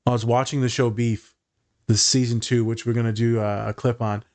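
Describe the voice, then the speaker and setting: Guy on a podcast just chatting, therapist vibe, lots of ums, middle pitch. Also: little pitch movement, fairly quick, a little mellow. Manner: chatty, swears casually, many fillers. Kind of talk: casual chat.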